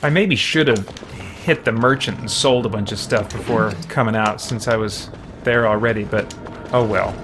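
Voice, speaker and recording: Man livestreaming a game over loud music. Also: fairly low pitch, moderate pace. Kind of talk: casual gameplay chat with viewers. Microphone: streaming setup, headphones on.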